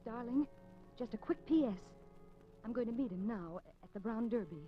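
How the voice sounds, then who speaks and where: A woman's voice: on the high side, animated, moderate pace, soft background music, natural voice. An actress playing an anxious, determined woman undercover in a 1950s radio suspense drama, with coy, hesitant delivery. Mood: wistful, uneasy. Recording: dull 1950s radio recording.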